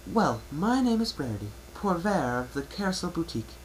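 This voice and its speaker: A man's voice: fairly high pitch, big pitch swings, moderate pace, pitched above the performer's own voice. A man voicing a refined, ladylike female pony who owns a boutique, speaking in a genteel, fussy and dramatic way. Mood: poised, flattered. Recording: noisy amateur home recording.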